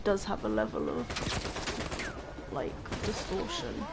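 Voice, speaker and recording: A woman streamer with a low voice, chatting casually and rambling to her chat over gameplay through a distorting mic. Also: huge pitch swings, slow, vocal fry, very noisy.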